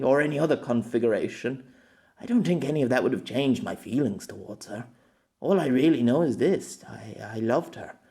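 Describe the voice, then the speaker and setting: A man's voice: medium pitch, a little mellow, natural voice. A man voicing an earnest, reserved man who speaks hesitantly and reflectively in an audiobook. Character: earnest, wistful, reserved man confiding his feelings. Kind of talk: amateur audiobook character dialogue.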